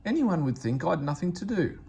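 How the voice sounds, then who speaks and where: A man voicing a grumpy, bossy young tank engine from a storybook, with a lordly, sharp manner. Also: on the high side, very animated, fairly quick, gravelly, slight background noise, voice pushed up. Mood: grumbling.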